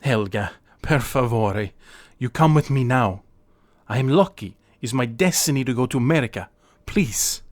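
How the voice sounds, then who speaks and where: A man's voice: medium pitch, animated, normal speed, lightly acted. A man playing an earnest, hopeful young Italian emigrant in an audio drama, speaking broken English with Italian words. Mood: pleading.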